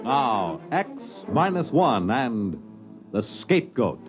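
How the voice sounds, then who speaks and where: A man's voice: mid-range voice, very animated, slow. A man announcing a radio program in a scripted, dramatic 1950s style. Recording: dull lo-fi 1950s radio, loud music.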